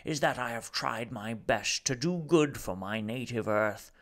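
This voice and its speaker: A calm aristocratic gentleman, as a man puts him on, with a glottal tenor voice. He speaks slowly and deliberately, at peace with himself. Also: medium pitch.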